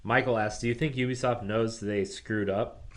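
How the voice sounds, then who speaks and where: A man's voice: on the low side, fairly even intonation, normal speed. A man co-hosting a gaming podcast in a laid-back, informal way, chatting and reading out listener questions.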